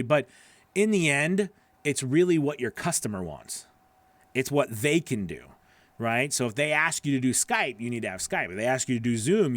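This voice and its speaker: A man around his forties chatting casually as a livestream host and coach, animated and emphatic, speaking close into a studio microphone. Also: mid-range voice, no room sound. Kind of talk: casual livestream chat, answering viewer comments. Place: small home studio with acoustic foam. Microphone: broadcast microphone on a boom arm, up close.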